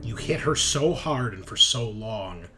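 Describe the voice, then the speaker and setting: A man's voice: lowish voice, animated, measured pace, sharp tone, faint music behind. A man working as game master, narrating a tabletop horror game in vivid second-person description mixed with casual banter.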